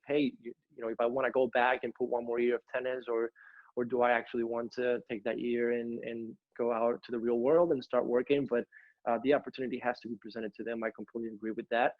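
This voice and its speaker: A young man from Ecuador talks earnestly from home, his sentences full of fillers and restarts. His delivery stays level and flat. The audio is dry and a little dull, like a home video call. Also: medium pitch, dry recording. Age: young adult. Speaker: college tennis coach. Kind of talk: interview over video call.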